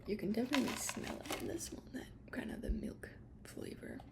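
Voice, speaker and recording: Female ASMR performer as shop attendant: whispered delivery with lively pitch movement, unscripted and tentative. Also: brisk, gravelly. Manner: hesitant, casual, unscripted explaining. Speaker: ASMR creator playing a tea shop attendant. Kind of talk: ASMR roleplay, soft-spoken product recommendations.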